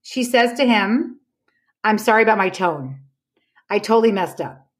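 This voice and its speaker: A woman's voice: mid-range voice, animated, moderate pace. A woman explaining her ideas conversationally as a podcast guest, telling anecdotes emphatically and acting out reported dialogue.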